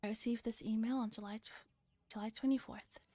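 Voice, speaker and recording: A woman reads a story aloud in a soft, mellow ASMR voice, often dropping to a whisper. She is hushed and deliberate with a dramatic touch. The sound is very dry and close. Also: fairly high pitch, fairly even intonation, dry recording. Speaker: streamer reading a visual novel aloud.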